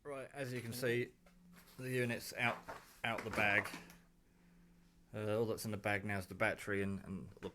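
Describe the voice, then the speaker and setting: A British man with a fairly low voice presents a homemade project from his kitchen. He speaks in an informal, rambling, unscripted way, with the odd mild swear word, like a hobbyist talking to his viewers. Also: level tone, moderate pace, background noise. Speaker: hobbyist vlogger presenting own radio build. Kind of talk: casual unscripted demonstration talk. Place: home kitchen.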